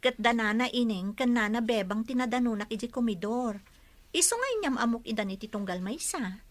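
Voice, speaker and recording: A woman's voice: a little high, a little fast, natural voice. A woman playing a sweet young daughter in love in an Ilocano audio drama, with an earnest, youthful delivery. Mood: apologetic, pleading. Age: young adult.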